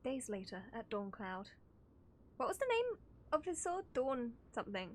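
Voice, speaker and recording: A woman livestreaming a game, reacting in an animated, giggly way. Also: on the high side, moderate pace, a little hiss or hum. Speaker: streamer. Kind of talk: live game reaction commentary.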